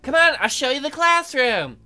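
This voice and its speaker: A woman's voice: sits high, sing-song, normal speed, pushed and bright, faint music behind, voice pushed up, full character voice. A woman voicing a cheerful, eager shark schoolgirl in a visual novel, perky and earnest.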